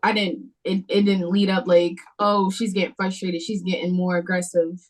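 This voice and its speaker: Woman, slightly low pitch, actor chatting through script feedback in an informal, slangy way. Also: flat delivery, moderate pace. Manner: informal, frequent "like", loose slangy phrasing. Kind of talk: casual chat. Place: remote video call. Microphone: video call.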